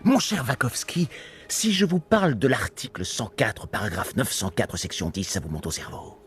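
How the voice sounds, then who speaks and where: A man's voice: lowish voice, wildly swooping pitch, moderate pace, a bit bright, soft background music. A man voicing an arrogant, theatrical mad-genius doctor in a film dub, sneering and menacing with pompous, mocking speech. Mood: scornful. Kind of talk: French film fan dub acting.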